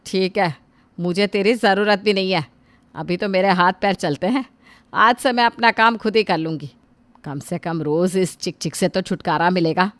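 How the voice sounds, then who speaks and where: A woman's voice: on the low side, steady tone, moderate pace, dry recording, natural voice. A woman voicing an aging, put-upon mother-in-law in a family drama, who scolds and sighs to God about her treatment. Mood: resentful. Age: older. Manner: wounded, scolding, sighing to God. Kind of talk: Hindi family drama voice acting.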